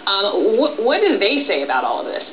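A woman's voice: medium pitch, wildly swooping pitch, fairly quick. An American woman in her thirties presenting live news as an anchor. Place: TV studio. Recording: dull echoey capture from a television, faint noise.

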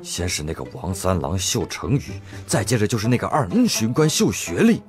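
An excitable ancient magistrate in a comic radio play. He sounds grand and theatrical, leaping from solemn to silly with huge swings of pitch. Music is clearly heard behind him. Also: normal speed. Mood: puzzled, official.

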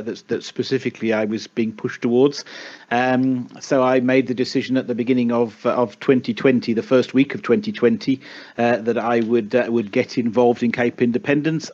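A man's voice: mid-range voice, a little mellow. A middle-aged man from the UK with a British accent, answering questions over voice chat with many restarts and fillers. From United Kingdom. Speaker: spokesman of an advocacy group. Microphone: voice chat mic. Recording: dull lo-fi voice chat.

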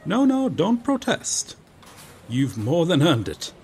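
Man voicing a noble knight, formal old-world speech, theatrical, lively pitch swings, some gravel, audio roleplay. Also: takes it slowly, full character voice. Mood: generous. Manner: formal, archaic, theatrical phrasing. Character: gallant, proud, courteous medieval knight, male, out of his time. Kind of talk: ASMR roleplay acting.

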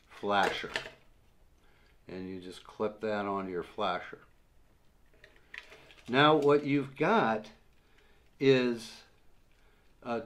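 Slow, patient older man explaining. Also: medium pitch. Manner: slow, patient step-by-step explaining with many uhs. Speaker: fishing instructor. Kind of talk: how-to demonstration. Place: small room indoors, seated at a table. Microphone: camera in front at desk distance.

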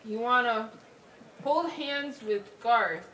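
Woman as naive, blunt student girl, tabletop game; hesitant, slow delivery, slightly high. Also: fairly bright tone, far from the mic, a little hiss or hum, low-quality recording. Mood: earnest, helpful. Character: naive, eager female student, blunt and affectionate. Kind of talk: improvised tabletop roleplay.

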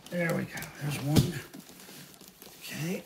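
A man's voice: a little high, fairly even intonation, takes it slowly, creaky, heavy background noise. A middle-aged American man talking casually in a folksy, informal way, as in an unboxing vlog at home. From United States. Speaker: vlogger, record collector and fan site manager. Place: small indoor room at home.

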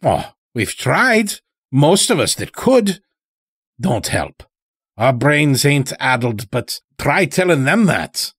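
Male narrator as old werewolf elder: folksy, sly, tired, husky, swooping delivery. Horror audio story. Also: medium pitch, big pitch swings, takes it slowly, a bit bright, no echo, clean studio sound, pitched above the performer's own voice, full character voice. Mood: wry, weary. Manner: folksy, rustic, ungrammatical drawl.

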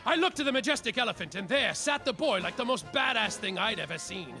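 An actor playing a world-weary, tipsy private detective in a slapstick noir radio play, with melodramatic hard-boiled narration. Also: male voice, sits high, animated, quick pace, over music. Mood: dramatic, excited.

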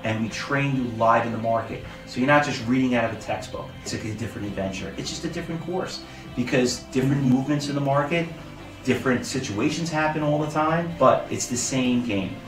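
A man's voice: medium pitch, a little fast, recorded from a distance. Persuasive middle-aged man, roomy and off-mic. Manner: earnest, persuasive, emphatic. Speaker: trading school founder and instructor. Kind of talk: promotional testimonial talk to camera. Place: hotel room with banner. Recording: roomy audio from off-mic with music bed.

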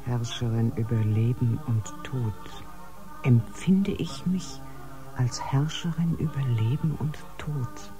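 A woman's voice: extremely low pitch, slow, over music, poor audio. An actress playing an agitated, grave female ship's doctor in a science-fiction radio drama, with dramatic delivery. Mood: brooding. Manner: dramatic, shifting from brooding to urgent.

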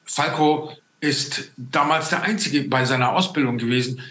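A man's voice: medium pitch, moderate pace, fairly bright tone. A man in his sixties hosting a casual video-call interview, searching for his words as he goes. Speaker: host. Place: room indoors, on a video call. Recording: roomy, echoing video-call sound.

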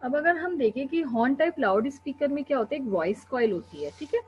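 A young woman with an Indian accent teaches in an explanatory lecture style, pausing on little check words. Her voice sits a bit high. The recording is dull and lo-fi, with a faint hum behind her. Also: lively intonation. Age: young adult. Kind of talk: explanatory classroom lecture mixing Hindi and English.

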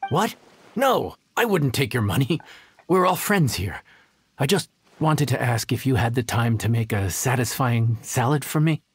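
An actor playing a friendly, humble young inn chef in a video game, folksy and easily flustered. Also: male voice, mid-range voice, sing-song, moderate pace, slightly rough. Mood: friendly, helpful. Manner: affable, folksy, slightly nervous. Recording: game music behind the voice.

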